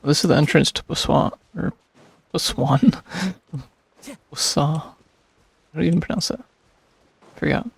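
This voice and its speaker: A man's voice: a little high, lively intonation, normal speed, gravelly, long pauses, husky, no room sound. A man streaming a video game with casual, muttered commentary, sometimes reading text aloud.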